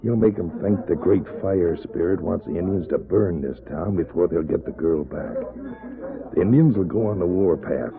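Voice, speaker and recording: An actor playing a scheming, cold-blooded outlaw boss in a radio western, with a folksy, commanding way of talking. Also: male voice, deep voice, moderate pace, gravelly, a crowd in the background. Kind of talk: old-time radio western acting. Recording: muffled, lo-fi vintage radio recording.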